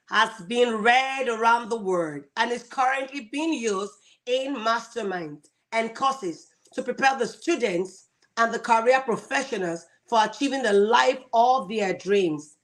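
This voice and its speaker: A woman's voice: a little high, fairly bright tone. A woman hosting an interview, reading a guest's introduction and asking questions with enthusiasm. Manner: enthusiastic, laughs often. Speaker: host.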